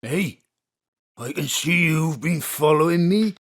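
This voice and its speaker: A man's voice: fairly high pitch, expressive, takes it slowly. A man playing a leering, drunken lecher in an audio drama, with a boisterous, lewd crooning drawl.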